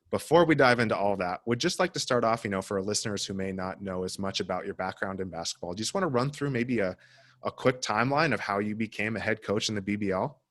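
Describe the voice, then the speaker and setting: A man's voice: lowish voice, a bit bright. A man hosting a basketball podcast who introduces his guest with enthusiasm and asks long, friendly questions. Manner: upbeat and enthusiastic, short backchannels. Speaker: podcast host.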